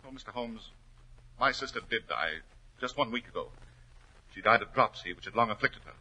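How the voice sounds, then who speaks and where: A cornered, hot-tempered nobleman in vintage radio melodrama. His rough, tense voice swings widely in pitch as he pleads with great passion, on an old 1940s recording. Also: expressive, brisk, sharp tone, heavily acted, poor audio. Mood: desperate pleading. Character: desperate, hot-tempered aristocrat racehorse owner, cornered and guilty.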